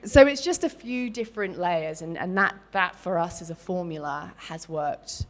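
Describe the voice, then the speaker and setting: A woman's voice: lowish voice, normal speed. A middle-aged Australian woman, a business founder speaking on a panel, talking candidly and loosely, with lots of fillers and restarts. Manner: chatty and candid, loose and rambling with fillers and self-interruptions. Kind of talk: candid panel talk at a live event.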